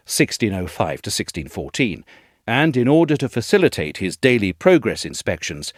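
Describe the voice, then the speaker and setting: Male narrator. Scripted essay read with very varied intonation, articulate and measured, in a dry recording. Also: lowish voice, no room sound. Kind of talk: scripted documentary-style narration.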